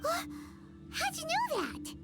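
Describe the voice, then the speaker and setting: Very high, squeaky little fairy companion. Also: female voice, wildly swooping pitch, slow, exaggerated voice. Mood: amazed. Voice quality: squeaky, childlike. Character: small childlike chatty female fairy companion, eager and curious. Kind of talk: video game cutscene voice acting. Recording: music behind the voice.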